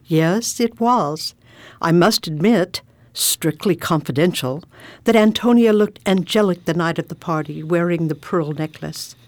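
A woman's voice: fairly low pitch, measured pace, no room sound. A woman playing a grumpy widow, dry sound. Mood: confiding. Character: grumpy widowed sister with a secret soft side. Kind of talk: radio drama acting.

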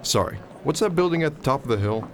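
A man's voice: medium pitch, lively intonation, fast, a little hiss or hum, lightly acted. A man playing a naive, wide-eyed, awkward college freshman in an audio drama, speaking in a hesitant, curious, slangy way.

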